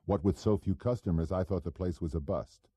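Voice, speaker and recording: A man's voice: sits low, a little fast, mellow, breathy edge, no room sound. A man playing a sneering, talkative, cynical wise-guy operative with a cocky, slangy manner in an audiobook. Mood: needling.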